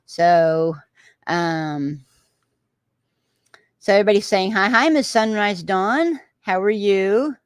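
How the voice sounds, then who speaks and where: Slow-talking Texan woman streamer. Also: mid-range voice, clean tone, no echo. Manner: rambling, many "um"s and restarts. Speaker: livestream host reading viewer comments. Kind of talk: casual livestream chat.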